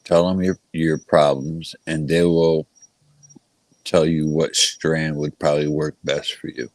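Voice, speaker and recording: A man's voice: extremely low pitch, flat delivery, a little slow, a little mellow, no echo, low-quality recording. A middle-aged man in casual conversation, blunt and given to repeating himself. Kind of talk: casual chat.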